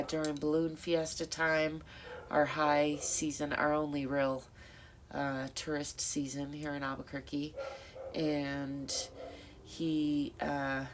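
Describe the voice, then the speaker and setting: A middle-aged woman with a low voice and a congested, stuffed-up nose talks to her camera at an unhurried pace. She muses out loud and circles back on herself, full of ums and restarts. A faint hiss sits under her voice. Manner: rambling, thoughtful, many fillers and self-corrections. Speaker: vlogger. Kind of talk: reflective casual monologue.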